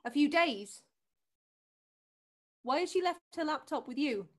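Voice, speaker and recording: An actress plays a determined British woman in a stage drama, worried for her sister. Her voice sits high. She presses insistently and has a scornful edge. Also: expressive, a little slow. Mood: guarded, suspicious. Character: worried, determined sister confronting a drug dealer. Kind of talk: acted scene from a drama showcase.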